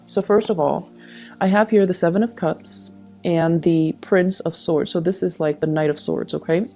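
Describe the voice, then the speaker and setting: A woman's voice: fairly low pitch, fairly even intonation, moderate pace, dark tone. A woman giving a conversational tarot reading, speaking reflectively and advising the listener directly. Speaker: tarot reader, channel host. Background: background music.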